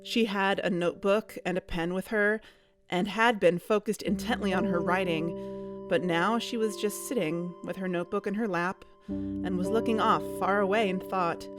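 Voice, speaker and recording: A woman's voice: medium pitch, fairly even intonation, moderate pace, lightly acted. A woman playing an observant, reflective narrator, telling a scripted first-person audio drama. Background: guitar music.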